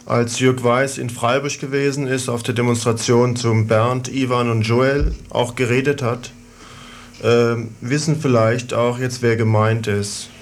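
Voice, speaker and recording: A man's voice: medium pitch, level tone, a little slow. A man hosting a radio programme, interviewing and reading out announcements. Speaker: radio host.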